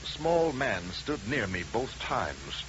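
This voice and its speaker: A man's voice: mid-range voice, big pitch swings, slow. An actor playing an anxious, desperate man in a 1950 radio detective drama, hesitant and defensive as he explains himself. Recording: dull, noisy old radio recording with hum.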